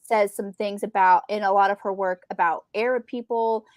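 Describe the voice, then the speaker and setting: Woman, medium pitch, dry sound, casual book co-host, animated emphasis. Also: normal speed, no room sound. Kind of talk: casual live discussion of classic books.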